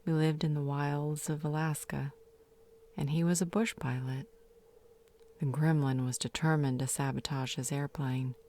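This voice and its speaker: A woman playing a grieving, tender fae mother in an audio drama, sorrowfully confessing her regrets in halting, half-whispered speech. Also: sits low, fairly even intonation, darkish tone. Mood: regretful, confessing. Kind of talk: dramatic audio roleplay monologue.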